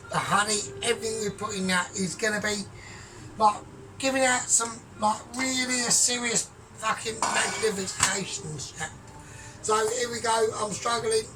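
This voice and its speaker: A man talking through his cooking in a rambling, grandiose, sweary way, often trailing off quiet. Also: high-pitched, background noise. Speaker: home cook vlogger. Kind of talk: casual cooking vlog. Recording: distant mic with music behind.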